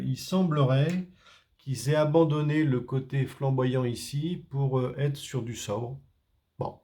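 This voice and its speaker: A man's voice: medium pitch, creaky, dark tone, a little hiss or hum. Hesitant French-speaking man unboxing gear. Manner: hesitant, many fillers and restarts. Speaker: tech reviewer. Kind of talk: casual unboxing talk.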